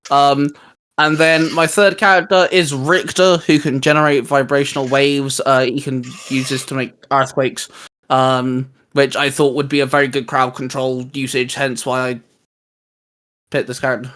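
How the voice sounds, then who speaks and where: A man co-hosting a casual geek chat about comics, speaking in a halting, self-interrupting way. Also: medium pitch, little pitch movement, a bit bright. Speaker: co-host of a geek discussion show.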